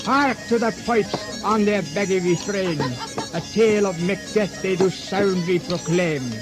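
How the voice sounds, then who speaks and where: Over clear music, a high-voiced storyteller, proclaims a mock-heroic tale. He narrates like a grand bard in a radio play. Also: unhurried. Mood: grand, proclaiming.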